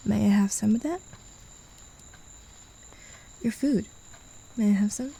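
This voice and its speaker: A woman voicing a possessive, mocking demon in an ASMR roleplay, speaking in a condescending, teasing way with soft whispers. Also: mid-range voice, takes it slowly, gravelly, slightly rough, exaggerated voice. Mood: taunting. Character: mocking, possessive demon who claims a human as a pet.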